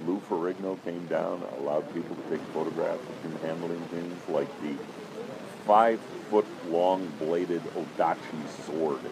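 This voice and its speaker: A man with a deep voice, the founder of a convention weapons exhibit, telling anecdotes in an interview. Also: creaky, noisy background. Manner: deep voice, storytelling with acted-out quotes.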